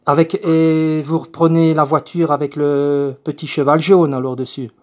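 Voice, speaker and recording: A man's voice: fairly high pitch, little pitch movement, moderate pace, darkish tone, lightly acted. A man voicing a confused, polite eighty-year-old woman with a Belgian French accent, hesitant and repetitive, as in a prank phone call. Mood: insistent, chatty.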